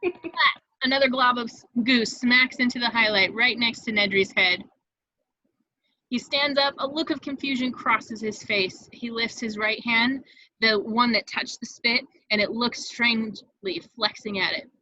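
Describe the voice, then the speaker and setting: A woman's voice: on the high side, steady tone, measured pace, sharp tone, lo-fi sound. A woman reading scripted stage directions as the narrator of a table read, in a vivid storytelling manner.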